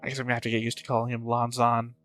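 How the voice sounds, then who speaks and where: Male reaction host: casual, musing commentary, forceful bright tone, mid pitch, faint music behind. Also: completely flat delivery, rapid-fire. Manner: musing, thinking aloud.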